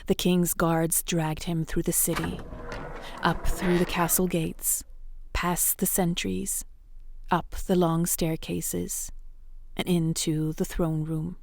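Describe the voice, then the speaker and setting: A woman narrating a dark fairytale in measured, suspenseful short phrases. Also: fairly low pitch, level tone, gravelly.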